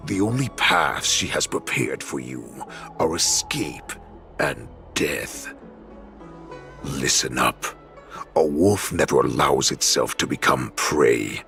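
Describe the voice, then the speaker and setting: A man voicing a menacing, commanding alpha wolf warlord in a video game, gravelly, imperious and mocking. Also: very deep voice, a bit bright, exaggerated voice. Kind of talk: dramatic video game voice acting.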